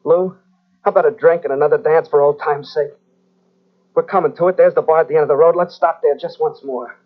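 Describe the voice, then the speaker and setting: A man playing a cold, menacing husband back from the dead in an old radio drama, slow and quiet. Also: a little high, fairly even intonation, close to an everyday voice. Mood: quietly ominous. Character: menacing returned husband, Nicky, cold and calm. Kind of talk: 1940s radio acting. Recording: tinny narrow old radio tape.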